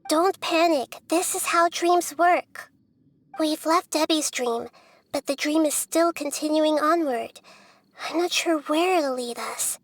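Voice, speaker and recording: A woman playing a small, wise, child-like goddess in a video game, gentle, measured and explanatory. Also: sits high. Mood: thoughtful.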